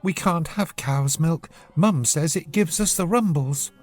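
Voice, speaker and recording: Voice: mid-range voice, a little slow, faint music behind, pitched above the performer's own voice, close to an everyday voice. A storybook narrator voicing a curious, chatty seven-year-old girl who speaks in an earnest, inquisitive way. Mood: curious, puzzled.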